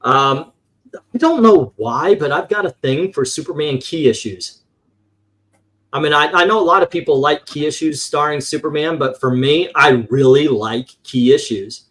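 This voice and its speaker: A man's voice: fairly high pitch, moderate pace, a bit bright. A man hosting a casual show-and-tell as a collector, rambling along with occasional profanity. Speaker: comic book collector and show host. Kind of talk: casual show-and-tell commentary on vintage comics.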